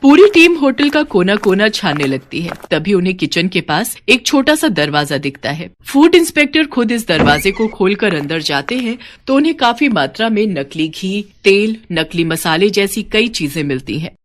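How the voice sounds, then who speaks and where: A woman reading a scripted moral story as narrator, in an expressive storytelling manner. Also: mid-range voice, moderate pace, few pauses, slight background noise.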